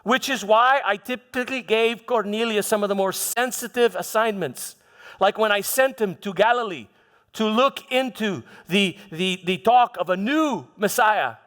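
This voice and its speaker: Guy acting as a proud roman governor, kinda high voice, halting storyteller, defensive, big echoey hall. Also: fairly bright tone. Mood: grave, wary. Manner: halting, repeats words, theatrical storytelling. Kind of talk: first-person church dramatic monologue. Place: church, live dramatization. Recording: reverberant hall.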